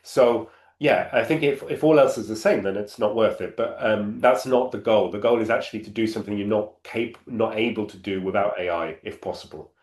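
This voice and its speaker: A man's voice: fairly low pitch, fairly quick, few pauses, a little mellow. Man explaining in an echoey room. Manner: thoughtful, restarts phrases while explaining. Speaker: panel speaker. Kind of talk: conversational Q&A answer.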